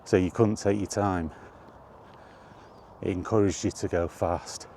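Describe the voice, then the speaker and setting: A middle-aged man chatting casually and reminiscing in a halting, thinking-aloud way, in short rambling phrases. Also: low-pitched, steady tone, dark tone, slight background noise. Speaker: video creator and host. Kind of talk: casual reminiscing monologue about retro games. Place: outdoors while walking.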